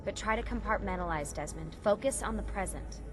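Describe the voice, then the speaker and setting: A woman's voice: mid-range voice, fairly quick, long reverb tail, music in the background, lightly acted. An actress voicing a level-headed, wry young woman on a covert team in a video game cutscene. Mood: wry, focused. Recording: loud game soundtrack under the voice.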